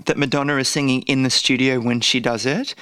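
A man's voice: medium pitch, fairly even intonation, fairly quick, sharp tone, no room sound. A man co-hosting a casual chat, talking with gushing enthusiasm. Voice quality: says he has a croaky voice. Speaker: podcast co-host.

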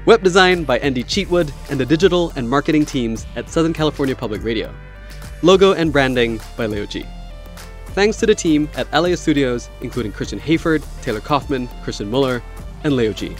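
A man's voice: medium pitch, animated, fairly quick, soft background music. A man hosting an interview podcast, speaking in a considered, conversational way. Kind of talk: conversational interviewing, scripted credits.

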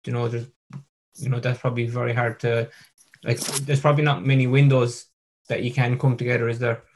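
Brief: male interviewer, flat level delivery, hesitant and stumbling phrasing. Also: medium pitch, brisk. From Ireland. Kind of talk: casual interview.

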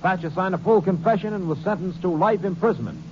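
A man's voice: high voice, quick pace, dull audio. Grave narrator man on noisy old radio. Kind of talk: scripted case epilogue narration.

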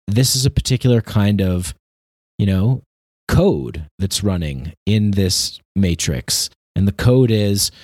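Male commentator, lowish pitch, dry close sound; earnest off-the-cuff opinion talk with halting, repeated phrases. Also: a little mellow, no echo. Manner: halting, repetitive, searching for words. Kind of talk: unscripted opinion monologue.

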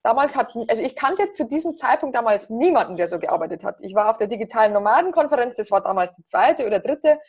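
A woman in her thirties with a Bavarian accent, chatting as a podcast guest in a relaxed, upbeat way. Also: on the high side, quick pace, few pauses, breathy edge. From Bavaria, near Passau. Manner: chatty, many fillers, upbeat. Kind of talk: casual interview chat.

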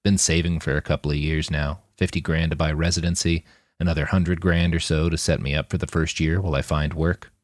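Guy doing a friendly soldier mechanic in an audiobook, casual and blunt, low voice, dry sound. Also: level tone, a little fast, no room sound. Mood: matter-of-fact, hopeful. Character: friendly male army mechanic, plain-spoken and hopeful.

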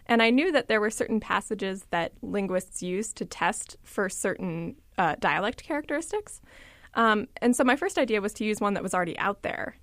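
A woman from a suburb of Washington, D.C., working as a reporter, telling stories in an animated, lively way. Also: mid-range voice, moderate pace, no room sound, studio quality. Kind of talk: casual podcast chat.

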